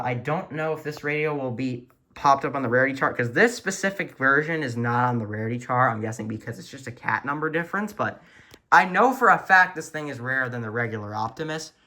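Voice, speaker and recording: A man with a bright, forward voice talks through a casual hobby show-and-tell. He rambles and corrects himself as he goes, filming handheld in a room at home. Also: a little high. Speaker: hobbyist video host. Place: small furnished room at home, indoors. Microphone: handheld camera or phone, behind the lens.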